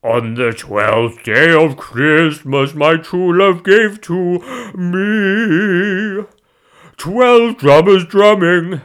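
A man's voice: high voice, big pitch swings, a little slow, bright, forceful tone, voice pushed up, heavily acted. A boisterous husband played by a man reading a comic story, boyish and theatrical. He shows off in a raised, exclamatory voice that swoops about. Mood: grandly singing. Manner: theatrical, exclamatory, sing-song.

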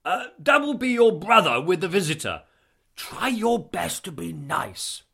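Man acting a flustered older dad, loud and high. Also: measured pace, breathy edge, heavily acted. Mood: delighted. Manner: blustering, excitable, protesting. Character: older husband and father, flustered, gleeful, childish.

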